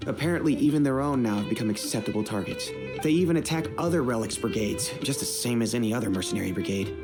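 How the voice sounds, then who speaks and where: A man's voice: mid-range voice, lively intonation, quick pace, over music, lightly acted. A man playing a friendly, informal desert mercenary in a video game. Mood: briefing. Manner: informal, welcoming.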